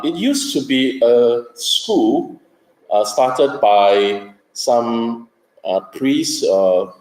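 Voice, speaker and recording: A man's voice: mid-range voice, a little mellow. A man from Singapore gives a conference presentation, hesitant and full of ums, with light jokes. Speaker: conference speaker. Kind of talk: presentation talk. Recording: roomy hall echo.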